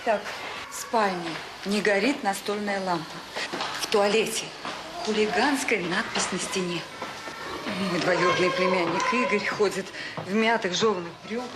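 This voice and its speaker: An actress playing an officious, self-important schoolteacher in a radio drama, prim, didactic and quick to find fault. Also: female voice, medium pitch, natural voice. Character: officious, fault-finding female teacher, self-important visiting relative. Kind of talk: naturalistic Soviet radio drama acting. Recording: very noisy audio drama recording.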